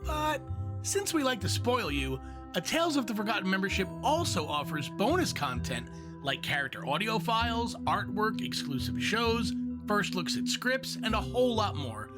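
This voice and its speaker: A man's voice: sits high, very animated, moderate pace, over loud music. A man reading a scripted podcast membership advertisement as announcer.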